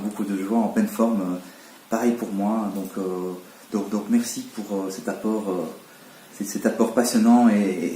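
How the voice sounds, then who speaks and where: A man's voice: fairly low pitch, warm tone, roomy sound, a little hiss or hum. A man around forty, born in Belgium, talking casually in an interview with many fillers and restarts.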